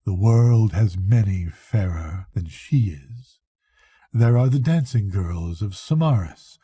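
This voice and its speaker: Old guy doing an evil tempting soul from a storybook, slow and coaxing, dark low voice. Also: breathy edge, no echo, clean studio sound, heavily acted. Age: older. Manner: coaxing, archaic speech. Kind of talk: storybook reading.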